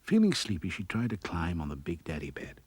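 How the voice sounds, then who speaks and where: Animated male fairy-tale storyteller. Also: low-pitched, a little fast, husky, no room sound. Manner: expressive, dramatic. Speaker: storyteller, narrator. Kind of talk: scripted fairy-tale narration for children.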